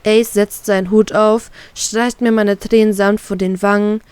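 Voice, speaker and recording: A woman narrating her own fan fiction aloud in a steady, plain reading voice. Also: mid-range voice, unhurried, smooth, no echo.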